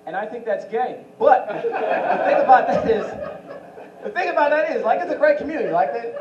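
High-voiced young man doing club stand-up. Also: expressive, moderate pace, lo-fi sound, over a noisy crowd, heavy background noise. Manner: does impressions of famous voices. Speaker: stand-up comedian. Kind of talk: stand-up set at an open mic. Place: small comedy club stage. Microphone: handheld mic. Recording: roomy club audio from a far camera. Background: audience laughter.

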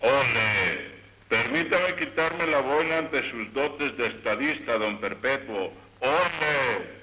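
Male actor as cartoon flatterer, obsequious, lively pitch, forceful tone, dull old film audio. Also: slow pace. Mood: flattering.

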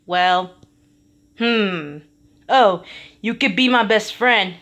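A woman voicing a calm, unbothered man toy in a homemade skit, speaking flat and dry. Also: mid-range voice, very slow. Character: calm low-voiced male YouTuber toy.

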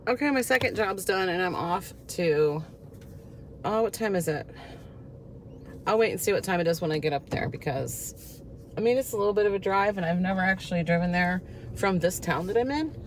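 A woman's voice: fairly low pitch, lively intonation, moderate pace. Chatty woman vlogging from her car. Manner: chatty, laughs often, rambling asides. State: stuffy from a cold. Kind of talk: casual chatty vlog. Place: inside a parked and moving car. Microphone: phone camera on the dashboard. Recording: car noise audible behind voice.

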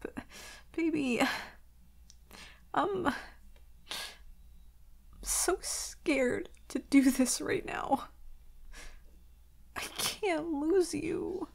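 A woman playing an anxious, vulnerable werewolf girlfriend in an intimate ASMR roleplay, soft and hesitant, stammering and often slipping into breathy whispers. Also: high voice, extremely slow, long pauses, exaggerated voice. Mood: scared, pleading.

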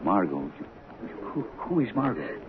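A man's voice: low-pitched, big pitch swings, a little slow. A man playing a bewildered, blunt but kindly diner boss in a 1970s radio drama, full of colloquial questions and exclamations. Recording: tinny, hissy vintage radio broadcast.

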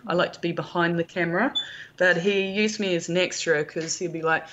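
Low-pitched New Zealand woman, chatty. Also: fairly even intonation, brisk, fairly bright tone, highs cut off, slight background noise. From rural New Zealand. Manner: chatty, anecdotal, quotes conversations. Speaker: podcast guest, film producer and prop maker. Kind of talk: casual interview chat.

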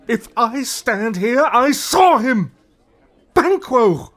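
A man's voice: very high voice, big pitch swings, very slow, fairly bright tone, some chatter behind, exaggerated voice. Man acting a haunted, wildly swinging tragic king. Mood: terrified, raving. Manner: theatrical, declamatory, swinging between outcry and brooding. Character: guilt-haunted, volatile Scottish king raving at a ghost. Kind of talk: classical Shakespearean radio drama acting.

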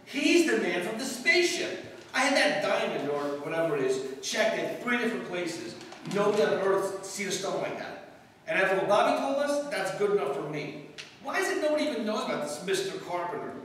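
A man playing a brash, self-serving boyfriend in an old-time radio drama, brisk, bossy and excitable. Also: high-pitched. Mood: excited. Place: live stage in a hall, distant audience camera. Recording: echoey hall stage from far off, noisy.

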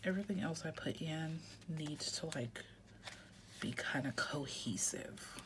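A woman's voice: sits low, unhurried. A woman with a head cold, congested, chatting casually and often half-whispering as she fills out her weekly planner at home. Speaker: planner vlogger. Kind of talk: casual chat while planning.

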